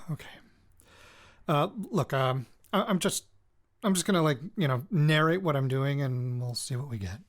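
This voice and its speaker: An actor playing a weary, jittery male radio reporter recording personal audio notes for a fiction podcast, in a halting, self-interrupting way. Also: a little high, close to an everyday voice. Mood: groggy, sheepish.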